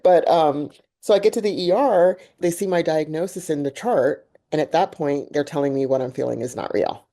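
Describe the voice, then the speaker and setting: A woman hosting a podcast with a deep voice, talking candidly and animatedly through a personal story. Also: moderate pace, hardly any pauses. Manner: candid, animated, laughs while telling a story. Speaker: podcast host. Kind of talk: conversational interview with personal storytelling.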